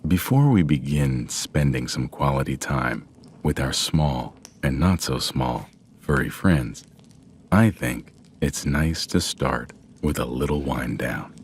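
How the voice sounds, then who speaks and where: Very deep-voiced man, slow sleep meditation. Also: lively intonation, a little hiss or hum. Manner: gentle, soothing, measured with long pauses. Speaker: guided meditation narrator. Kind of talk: slow guided sleep meditation, scripted narration.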